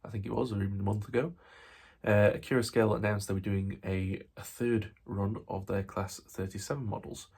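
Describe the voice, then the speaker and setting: A man's voice: on the low side, fairly even intonation, a little fast, gravelly, darkish tone, breathy edge. A man with a Yorkshire accent and a slightly nasal voice from a cold, hosting a casual, rambling video update about model railways. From England. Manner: rambling, with many fillers and restarts. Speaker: model railway channel host.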